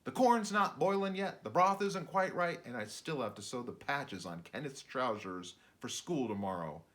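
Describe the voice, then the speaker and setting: A man voicing a brisk, scolding mother rabbit in a storybook. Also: medium pitch, animated, fairly bright tone, voice pushed up, lightly acted. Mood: brisk, dismissive. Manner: scolding, no-nonsense.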